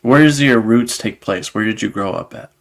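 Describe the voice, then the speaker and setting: Male interview host, gravelly, loose casual delivery that restarts and repeats. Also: lowish voice, moderate pace.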